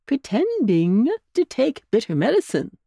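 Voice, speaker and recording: A woman voicing a kindly old gentleman rabbit in a storybook, speaking in a jolly, coaxing way. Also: on the high side, huge pitch swings, measured pace, creaky, breathy edge, no echo, studio quality, voice pushed up, full character voice.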